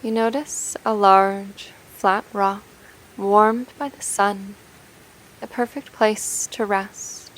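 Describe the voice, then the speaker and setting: Even-toned woman guiding a meditation. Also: medium pitch, low-quality recording. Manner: soothing, gentle, unhurried with long pauses. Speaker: meditation guide. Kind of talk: guided meditation narration.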